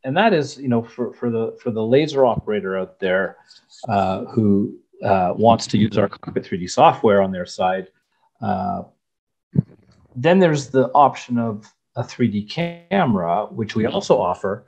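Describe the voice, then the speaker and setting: A man's voice: on the low side, animated, a little slow, a little mellow, husky. A middle-aged man interviewing a guest over a video call from his home office, asking stacked questions and then summing up the answers. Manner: asks layered questions, summarizes. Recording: slightly dull video call audio.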